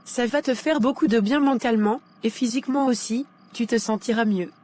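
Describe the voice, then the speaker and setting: Woman acting a high-voiced, caring young friend. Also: a little fast, darkish tone, close to an everyday voice. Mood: comforting. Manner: reassuring.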